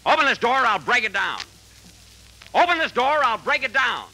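A man's voice: very high voice, sing-song, normal speed, creaky, pushed and bright, heavily acted. A man playing a vain, put-upon detective's assistant who grumbles through a 1940s radio comedy. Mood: exasperated. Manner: sulky muttered asides, exasperated outbursts. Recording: noisy old 1940s radio recording.

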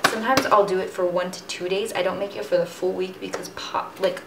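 A woman's voice: mid-range voice, steady tone, a little fast, gravelly, background noise. A young woman in her twenties chatting casually as she explains her meal prep step by step in her home kitchen, talking to a camera on the counter. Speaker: fitness vlogger. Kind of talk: casual cooking vlog. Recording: echoey kitchen with music underneath. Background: background music.

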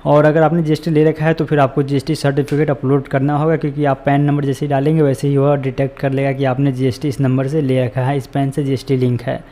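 A man's voice: mid-range voice, flat delivery, quick pace, few pauses, warm tone. A man narrating a screen-recorded walkthrough, giving repetitive step-by-step instructions as a voice-over. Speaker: tutorial host.

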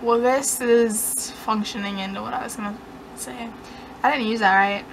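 A woman's voice: fairly high pitch, fairly even intonation, moderate pace, creaky, slight background noise, poor audio. A young woman vlogging from her bedroom, rambling in a sarcastic, self-mocking way. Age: young adult. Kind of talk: casual chat to camera. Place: dim bedroom, indoors.

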